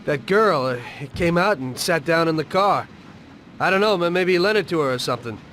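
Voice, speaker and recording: An actor playing an uneasy, hesitant mob underling in a video game. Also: male voice, a little high, animated, brisk, a bit bright, a little hiss or hum. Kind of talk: dramatic video game cutscene acting.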